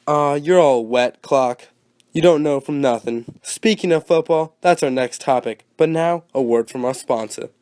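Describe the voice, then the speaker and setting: A man's voice: fairly high pitch, measured pace, darkish tone, smooth, close to an everyday voice. A man playing an eager, teasing 1920s radio sports co-host, peppy and earnest, full of period slang.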